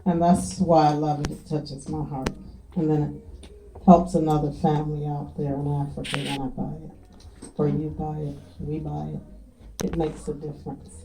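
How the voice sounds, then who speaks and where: A woman speaking casually and warmly to a gathering of friends and guests, thanking them and encouraging them. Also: low-pitched, level tone, normal speed, echoey room, background noise. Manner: heartfelt, folksy and encouraging. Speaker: event host thanking guests. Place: community event with guests.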